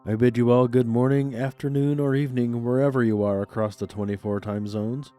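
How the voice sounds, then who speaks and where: A man's voice: mid-range voice, fairly even intonation, a little fast, dark tone, faint music behind. A congested, stuffed-up man hosting a paranormal podcast, reading scripted narration with playfully spooky, theatrical patter. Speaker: podcast host.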